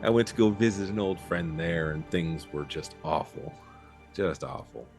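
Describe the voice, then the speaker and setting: A man voicing a shady, cigarette-smoking man who asks for dark work with calm, quiet menace. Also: low-pitched, normal speed, gravelly, faint music behind. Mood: sinister.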